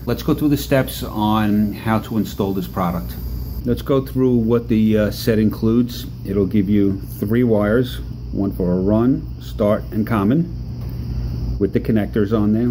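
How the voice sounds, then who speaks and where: A man talking through a hands-on repair demonstration in a relaxed, halting way with many fillers, recorded off-camera at an indoor workbench. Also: fairly low pitch, a little hiss or hum. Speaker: product demonstrator.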